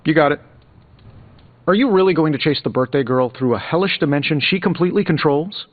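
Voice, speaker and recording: An actor playing a cocky billionaire inventor superhero in a video game, glib and sarcastic with playful quips. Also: male voice, a little high, brisk, no echo, phone-quality sound, lightly acted. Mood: joking. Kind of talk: video game character dialogue, quippy banter. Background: music.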